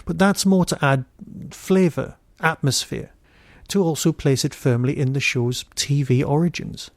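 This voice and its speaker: A man hosting a podcast, giving an informal solo review in a wry, digressive way with emphatic pauses. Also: on the high side, fairly even intonation, dry recording.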